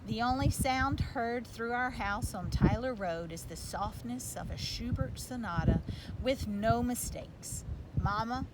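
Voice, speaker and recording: A woman's voice: on the high side, measured pace. A woman reading a children's storybook aloud as narrator. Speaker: reader of a children's book. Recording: loud background noise.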